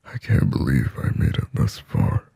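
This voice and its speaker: A man voicing a lonely, possessive demon in a slow, intimate ASMR roleplay, whispering breathily and raspily close to the microphone with halting, brooding phrasing. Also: warm tone, no room sound, close to an everyday voice. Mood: brooding, fearful. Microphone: very close, dry microphone.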